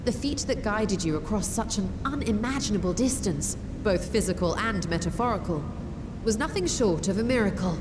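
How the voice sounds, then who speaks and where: A woman's voice: mid-range voice, lively intonation, normal speed, noisy background, lightly acted. A woman playing a composed, scholarly video game character who explains things patiently in formal, precise language. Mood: composed, explanatory. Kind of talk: scripted expository game dialogue. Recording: music behind the voice.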